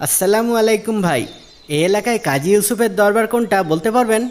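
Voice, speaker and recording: A man playing a polite, keen traveler in a moral cartoon, asking his way in a courteous, brisk manner. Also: high-pitched, lively intonation. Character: polite, keen male traveler looking for the judge. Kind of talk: Islamic moral cartoon dialogue.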